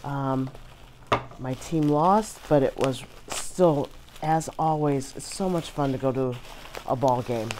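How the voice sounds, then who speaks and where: Hoarse, very low-voiced woman vlogger. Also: slow, noisy background. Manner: chatty, many "um" fillers, laughs easily. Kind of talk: casual unboxing chat.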